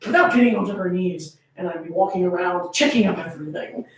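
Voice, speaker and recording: A woman's voice: on the low side, fast talker, voice pushed down, lightly acted. A woman voicing a proud, grumbling, vengeful young heroine in a declamatory dramatic story reading. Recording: very echoey room, far from mic.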